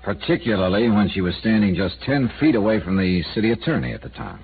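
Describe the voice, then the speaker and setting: An actor playing a dry, skeptical insurance investigator who narrates a 1950s radio drama. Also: male voice, on the low side, expressive, quick pace, a little hiss or hum, natural voice. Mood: probing. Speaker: narrator and lead. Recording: tinny old radio transcription.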